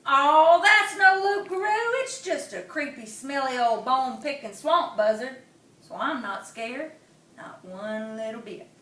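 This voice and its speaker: A woman voicing a small boy possum who brags he is not scared, drawing out his words slowly, in an echoey room. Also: sits high, lively intonation, sharp tone, exaggerated voice. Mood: boastful. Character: small timid but boastful boy possum. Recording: echoey room, mic at a distance.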